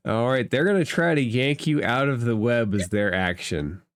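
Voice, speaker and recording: A game master narrating a tabletop game in a measured, matter-of-fact way. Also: mid-range voice, dry recording, clean studio sound.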